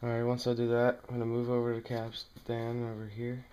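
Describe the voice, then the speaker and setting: Man, monotone delivery, clear smooth voice, casual how-to demonstration, halting plain speech, small room at home. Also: medium pitch. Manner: plain, halting, talking while working with his hands. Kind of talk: casual hands-on tutorial talk.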